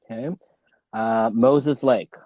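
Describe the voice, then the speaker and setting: A man's voice: medium pitch, steady tone, slow, mellow, dry recording, muffled recording. A man hosting a casual interview, giving brief prompts and short reactions. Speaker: podcast host, interviewer. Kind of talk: casual word-association interview.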